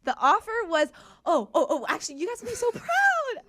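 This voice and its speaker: A woman's voice: very high-pitched, huge pitch swings, fairly quick, fairly bright tone. A young woman, an intern, chatting playfully on a radio show and laughing often. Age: young adult. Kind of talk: casual chat. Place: radio studio.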